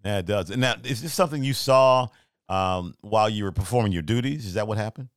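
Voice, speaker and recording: Lowish-voiced man, dry sound. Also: fast, clear, resonant tone, dry recording. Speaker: podcast co-host. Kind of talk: casual chat.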